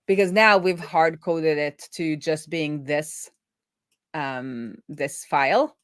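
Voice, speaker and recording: A woman's voice: low voice, slow pace, no room sound, clean studio sound. A woman explaining programming informally as a host, thinking aloud as she goes. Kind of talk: informal live coding explainer.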